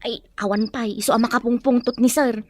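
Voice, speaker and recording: A woman's voice: on the high side, steady tone, fairly quick, slight background noise, natural voice. A woman playing a gossipy, complaining but deferential household helper in an audio drama, with a Filipino accent. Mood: flustered, deferential. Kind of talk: Ilocano audio drama acting.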